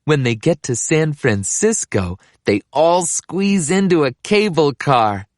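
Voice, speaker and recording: Female storybook reader, unhurried delivery with lively pitch swings, recorded dry and close. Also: no echo. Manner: expressive, deliberate read-aloud delivery. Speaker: picture book reader. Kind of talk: scripted children's story read-aloud.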